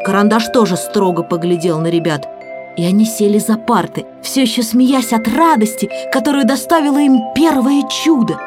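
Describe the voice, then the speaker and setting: A woman's voice: a little high, moderate pace, over music. A woman giving scripted narration for a children's audio play.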